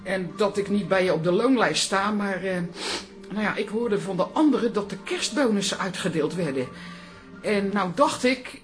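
An actress playing an older, hard-up aunt in a radio comedy drama, with hesitant, wry, self-pitying delivery. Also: female voice, mid-range voice, lively intonation, moderate pace, close to an everyday voice. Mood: hesitant pleading. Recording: music behind the voice.

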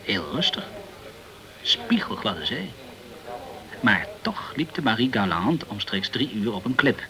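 A man's voice: fairly low pitch, big pitch swings, a little slow, a crowd in the background, rough recording. A man playing a polite, chatty journalist, peppering his talk with words, in a radio play. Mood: grave, informative. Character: polite, talkative French journalist in the tropical West Indies. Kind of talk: Dutch radio play acting.

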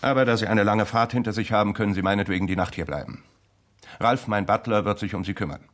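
An actor in a 1960s German radio drama playing a gruff old colonel, speaking in a curt, formal, commanding manner. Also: male voice, fairly low pitch, fast talker, fairly bright tone, close to an everyday voice. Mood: stern, formal. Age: older.

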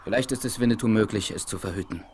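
An actor playing a noble, observant Apache chief in a 1970s radio play, speaking in a formal, measured, declamatory way. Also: male voice, fairly low pitch, darkish tone, close to an everyday voice. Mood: proud, solemn. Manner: formal, declamatory, measured, addresses others in third person. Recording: noisy 1970s vinyl radio play rip.

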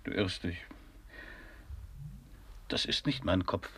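A man's voice: low voice, slow pace, a bit bright, breathy edge, full character voice. An actor playing an uneasy, evasive, grumbling man in a radio play, with dry, terse, irritable delivery. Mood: grudging, dry. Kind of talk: absurdist German radio play acting.

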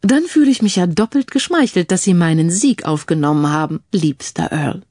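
A woman's voice: fairly low pitch, expressive, moderate pace, warm tone, no room sound, clean studio sound. A woman voicing a confident, no-nonsense female detective in an audio crime story. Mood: flattered, teasing.

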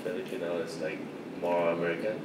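Low-voiced man asking quick questions. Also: level tone. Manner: brief, plain questions. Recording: echoey room, distant mic, noisy.

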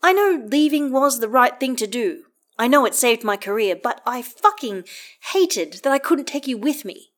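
A woman voicing a blunt, loyal woman who swears freely and speaks earnestly, higher and louder than her narration. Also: animated, moderate pace, close to an everyday voice. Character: warm, blunt, tough-talking woman, fiercely loyal best friend.

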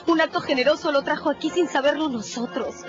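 An actress playing an anguished, pleading young wife in a radio drama. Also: female voice, high voice, fast, natural voice. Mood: distraught urgency. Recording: dull radio recording under music.

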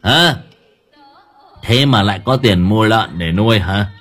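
A man's voice: lowish voice, lively intonation, a little slow, fairly bright tone. A man voicing a cruel, arrogant young landlord's son who growls mocking commands. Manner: growling, sneering, harsh commands.

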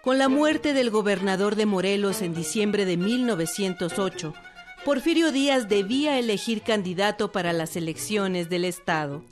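A woman's voice: mid-range voice, animated, normal speed. A woman with a Mexican accent narrating a historical radio documentary from a script. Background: instrumental music, fiddle.

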